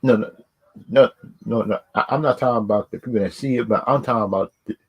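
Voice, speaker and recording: A man's voice: fairly low pitch, fast talker, slightly rough, dull audio. A man giving casual, unscripted opinions as a video host, rambling and often repeating words and stock phrases. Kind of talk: casual unscripted opinion talk on films and games.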